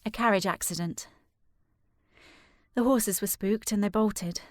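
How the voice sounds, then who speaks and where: A woman playing a determined, formal young gentlewoman worried about her sister in a period audio drama. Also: medium pitch, a little slow, no room sound, clean studio sound, close to an everyday voice. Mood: wistful, confiding. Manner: formal, precise, insistent.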